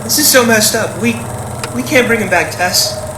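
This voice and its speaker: A man's voice: high voice, moderate pace, echoey room, recorded from a distance, background noise, lightly acted. A man acting in an audio play as a wary, exasperated young brother, blunt and argumentative. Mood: incredulous.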